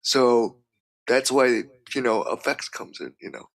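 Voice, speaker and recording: A man guesting on a casual chat, a freelance voice actor with an everyday mid-pitched voice. He talks haltingly, restarting phrases and leaning on "you know". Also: gravelly, poor audio.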